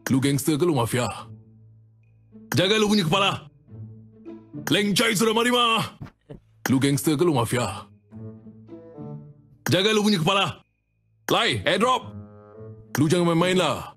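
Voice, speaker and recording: A man's voice: high-pitched, sing-song, measured pace, pauses often, a bit bright, faint music behind, heavily acted. A man playing a tough, bossy Malaysian gangster boss in dramatic game catchphrases, with a Malaysian accent. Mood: menacing, commanding.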